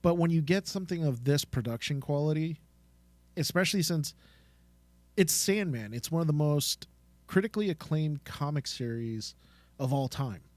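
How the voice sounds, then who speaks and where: A man's voice: on the high side, lively intonation, normal speed, a little mellow, dry recording. A man co-hosting a podcast, speaking casually and enthusiastically. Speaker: podcast co-host.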